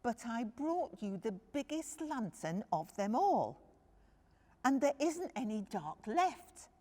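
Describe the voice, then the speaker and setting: A woman's voice: on the high side, big pitch swings, slow pace, dark tone, big-room sound, pitched above the performer's own voice, heavily acted. A woman voicing a big, patient, caring male bear in a children's storybook reading. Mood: weary, puzzled.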